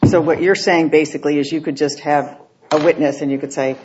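A woman's voice: deep voice, steady tone, brisk, a little mellow. A woman judge questioning an attorney from the bench in a pointed, skeptical way. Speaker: appellate judge. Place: courtroom. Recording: dull, lo-fi courtroom recording.

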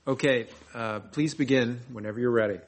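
A man's voice: mid-range voice, brisk. A man presiding as a judge, speaking formally as he runs a court hearing on a noisy courtroom microphone. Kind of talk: formal courtroom procedure, calling a case.

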